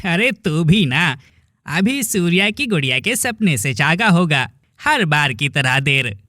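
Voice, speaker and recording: Casting: male actor for bold teasing young villager, cartoon drama, lightly raised pitch, close dry sound. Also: big pitch swings, takes it slowly, pushed and bright, no room sound, full character voice. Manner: familiar, teasing, emphatic.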